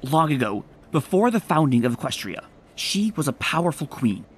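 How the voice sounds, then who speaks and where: A woman voicing a bold, confident female adventurer pony in a comic dub, talking briskly and commandingly. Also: low-pitched, big pitch swings, husky, pitched below the performer's own voice, heavily acted. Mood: explaining.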